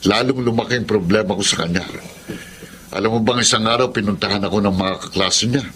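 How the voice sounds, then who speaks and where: A man's voice: mid-range voice, a bit bright, lightly acted. A man acting in a Filipino radio drama as an earnest, protective older father, speaking emphatically. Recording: light background noise.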